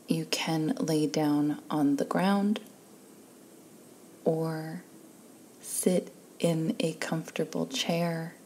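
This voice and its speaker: A woman leading a guided meditation in a soft, breathy, calm and soothing voice, leaving long pauses between phrases. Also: sits low, a little hiss or hum. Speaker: guided relaxation instructor.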